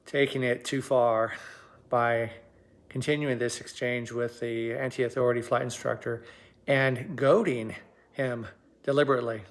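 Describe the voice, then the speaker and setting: A man giving explanatory commentary as a host, measured and pausing for emphasis. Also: mid-range voice, level tone.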